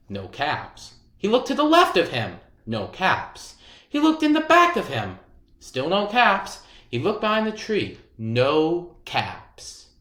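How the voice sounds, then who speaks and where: A storyteller reading a children's picture book aloud, expressively and deliberately, with dramatic emphasis. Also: mid-range voice, fairly bright tone, echoey room.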